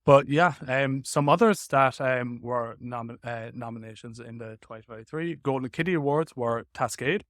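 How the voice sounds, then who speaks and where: A man's voice: medium pitch, normal speed, hardly any pauses, a bit bright, dry recording. Casual male podcast host, dry close sound. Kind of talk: casual tech podcast chat.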